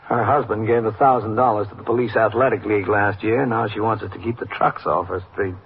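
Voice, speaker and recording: An actor playing a weary, businesslike police captain in a matter-of-fact, clipped classic radio drama style. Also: male voice, fairly low pitch, steady tone, a little fast, vocal fry. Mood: dutifully polite, weary. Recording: narrow, noisy old radio recording.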